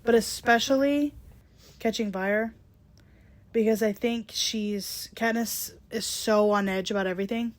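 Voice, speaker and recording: A young woman with a slightly phlegmy voice co-hosting a casual book podcast, blunt and quick to swear. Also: a little high, fairly even intonation. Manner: blunt, swears freely, laughs easily. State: a bit phlegmy. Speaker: podcast co-host. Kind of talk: casual book club chat.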